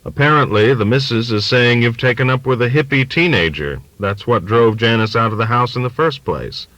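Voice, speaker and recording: An old working-class father , acted out by a male audiobook reader, talks in a forceful, folksy ramble. He is bigoted and confiding, leaning hard on his points in a sharp-edged voice. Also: mid-range voice, lively intonation, moderate pace, no echo, voice pushed up, close to an everyday voice, low-quality recording. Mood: confiding, agitated. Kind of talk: audiobook character dialogue.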